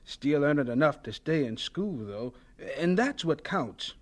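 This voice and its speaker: An actor playing an earnest, polite young American student in a radio drama, deferential and hesitant yet insistent. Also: male voice, fairly high pitch, lively intonation, unhurried. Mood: earnest, determined. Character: earnest, polite, determined young male student running laundry.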